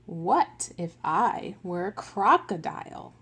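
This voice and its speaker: A woman reading the lines of a small, grumpy young child in a picture book, in a soft, slow storytime voice. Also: fairly low pitch, expressive, breathy edge, exaggerated voice. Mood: wondering.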